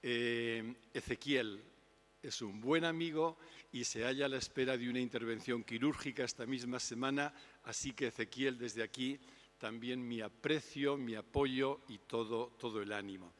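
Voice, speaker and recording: An older man giving an unhurried, reflective public talk on spirituality. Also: medium pitch, fairly bright tone. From Spain. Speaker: invited speaker. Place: lecture hall. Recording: long hall reverb.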